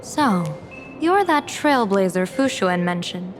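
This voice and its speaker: Enigmatic, slow-talking seer strategist over music. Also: female voice, medium pitch, sing-song. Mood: musing, teasing. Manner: measured, musing, theatrical fortune-telling delivery with pauses. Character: enigmatic, playful female seer strategist and general. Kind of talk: English video game voice acting. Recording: music under the voice.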